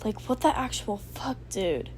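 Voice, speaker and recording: A woman's voice: medium pitch, expressive, unhurried, a little mellow, lightly acted. Lady reading as sarcastic teen hacker boy, casual and dodgy, audio fanfic, bit of noise behind. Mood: dismissive.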